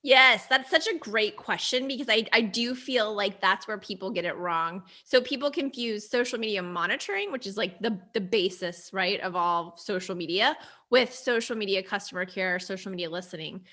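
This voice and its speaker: A woman's voice: medium pitch, moderate pace. A woman in her forties with a youthful, girlish-sounding voice, chatting animatedly as a podcast guest. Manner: chatty and animated, laughs easily. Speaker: agency CEO, guest. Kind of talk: casual interview chat.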